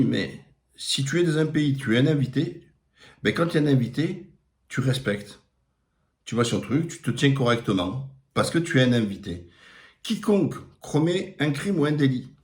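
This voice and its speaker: A middle-aged man with a Marseille accent giving a blunt, emphatic opinion monologue on a live stream, with frequent fillers. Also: medium pitch. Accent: Marseille French. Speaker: political commentator.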